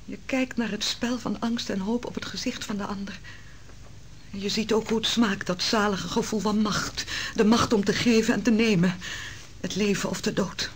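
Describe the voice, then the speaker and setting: An actress playing Susanna, a proud noblewoman falsely accused, speaking in a hushed, intense way in a vintage radio drama. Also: mid-range voice, steady tone, moderate pace, a little hiss or hum, natural voice.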